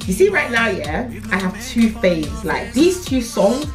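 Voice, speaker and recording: A woman's voice: a little high, unhurried, music in the background. Chatty young woman vlogging at home. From England. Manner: chatty, playful, animated with hand gestures. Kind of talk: casual chat to camera. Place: living room, indoors. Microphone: camera at desk distance.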